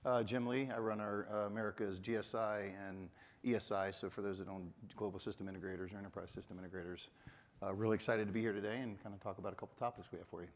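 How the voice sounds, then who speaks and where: A man business leader speaking briskly on a conference panel, full of corporate jargon. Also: fairly low pitch, fairly even intonation. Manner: brisk, jargon-heavy, quick-fire. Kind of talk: panel discussion. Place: conference stage. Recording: long hall reverb.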